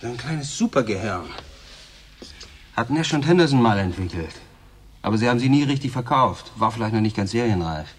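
A man's voice: mid-range voice, expressive, brisk, breathy edge. An actor playing a laconic male newcomer at a remote station in a German radio drama, musing and understated. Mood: quiet, musing.

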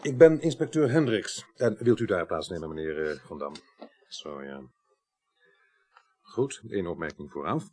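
Low-voiced, formal police inspector. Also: male voice, animated, moderate pace, long pauses, dark tone. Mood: formal, methodical. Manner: procedural, plain-spoken. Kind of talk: Dutch radio drama acting.

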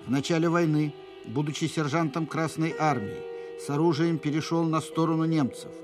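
An actor playing a formal military reader of urgent dispatches in a radio drama, official, precise and impersonal. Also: male voice, fairly high pitch, steady tone, moderate pace, natural voice. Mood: stern, official. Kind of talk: scripted document reading in radio drama. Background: faint music.

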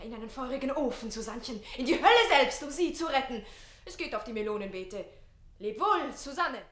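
A woman acts out an ardent young page boy in a theatrical radio play. The high voice is urgent and very lively, full of reckless bravado, in a roomy echo. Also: a little fast. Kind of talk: theatrical radio drama acting.

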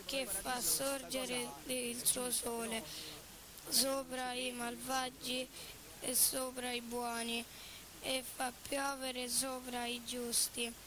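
A young woman with a Roman accent reading aloud haltingly in a street interview. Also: a little high, rough recording. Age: young adult. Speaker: member of the public reading aloud. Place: outdoors on a Rome street near schools. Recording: busy street crowd behind.

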